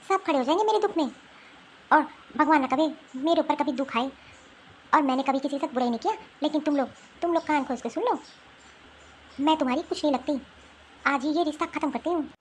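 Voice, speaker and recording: A woman voicing an angry, sharp-tongued woman scolding relatives in a family drama cartoon. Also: high-pitched, brisk, a little hiss or hum, lightly acted. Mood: haughty, dismissive. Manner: scolding, indignant. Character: angry, sharp-tongued Indian women quarrelling in a family feud.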